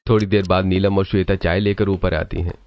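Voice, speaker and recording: A man narrates a scripted story in a low voice. The recording is a little dull and lo-fi, as if the top end were trimmed. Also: flat delivery, brisk.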